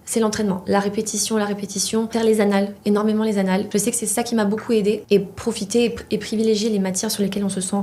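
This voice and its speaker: Young woman, interview guest, halting, fillers, medium pitch. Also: flat delivery, mellow. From Poland. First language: Polish. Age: young adult. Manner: hesitant, many fillers and restarts. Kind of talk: casual interview.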